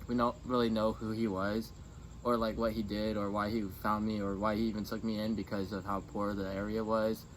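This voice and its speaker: A man's voice: fairly low pitch, flat delivery, brisk. A young man as an interview guest, casually telling a personal story with hesitant, informal phrasing. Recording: clearly noisy background.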